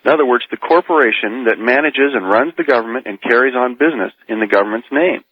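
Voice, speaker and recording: Argumentative American radio caller, muffled dry line. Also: male voice, medium pitch, fast talker, husky, no room sound. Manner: argumentative, probing with pointed questions. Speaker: caller debating on a radio show. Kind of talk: live phone-in debate. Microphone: telephone. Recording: muffled phone call over old radio.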